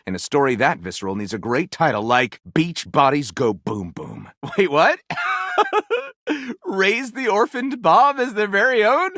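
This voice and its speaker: A man playing an excitable, sardonic, self-pleased pulp author in a comedic video game, with a dramatic, wry delivery and flippant asides. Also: high voice, measured pace, no echo, studio quality, exaggerated voice. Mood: amused, excited. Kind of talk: theatrical comedic game dialogue, mock-literary narrating.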